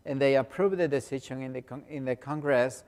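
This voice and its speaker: A Salvadoran pastor, a man who grew up speaking Spanish, talking in halting English with a Spanish accent and many restarts. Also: medium pitch, darkish tone, in a large hall. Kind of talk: speaking to a congregation in non-native English.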